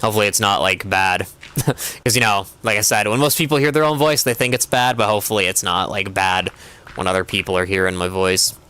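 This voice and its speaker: A man's voice: lowish voice, steady tone, brisk, sharp tone, clean tone. A young man streaming a game, chatting casually in a rambling way with constant filler words. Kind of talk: casual chat while gaming.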